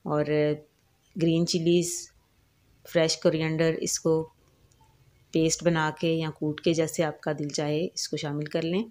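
A woman hosting a home cooking channel, calmly explaining each step of a recipe in a voice-over. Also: sits low, unhurried. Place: home kitchen.

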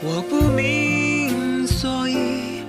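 A man's voice: very high voice, level tone, very slow. A man singing a pop ballad. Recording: echoey, loud guitar backing.

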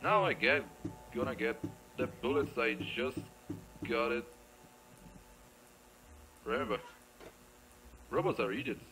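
A man's voice: medium pitch, slow, vocal fry, long pauses, pushed and bright, rough recording. A man giving casual, off-the-cuff game commentary, muttering playfully to himself, repeating phrases and exclaiming as he plays. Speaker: gamer recording a let's play.

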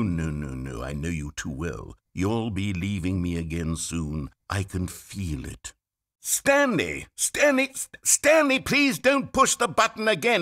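A fussy, anxious male narrator from a video game,. He rambles and pleads, his pitch leaping up and dropping low as his worry grows. It sounds like a clean studio recording. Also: full character voice. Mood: frantic. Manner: pleading, rambling, swinging from fretful to hushed. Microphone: studio mic.

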